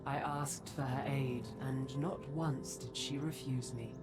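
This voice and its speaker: Fantasy game role: an ancient centaur woman, stern guardian weighed by remorse, low register, measured solemn pace. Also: steady tone, loud music behind the voice. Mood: remorseful, grave. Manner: grave, slow, formal delivery. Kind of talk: dramatic fantasy game voice acting.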